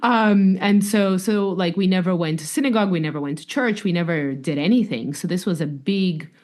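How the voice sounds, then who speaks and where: A woman's voice: on the low side, a little fast, a little mellow. A woman author with a mixed Russian, New York and slightly French accent, talking reflectively as a guest in a podcast interview. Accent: mix of Russian, New York, French and London. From Russia, raised in New York. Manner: reflective, with fillers and light laughs.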